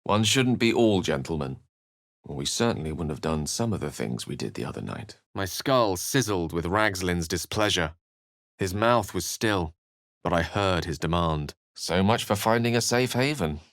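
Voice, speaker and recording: Low-pitched scholarly wizard, very animated intonation, eloquent, courteous, wry, theatrical fantasy game delivery. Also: male voice, no echo, studio quality. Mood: brooding. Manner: eloquent, formal, measured and dramatic. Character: erudite, courteous adult male wizard, wry and melancholy. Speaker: video game companion character.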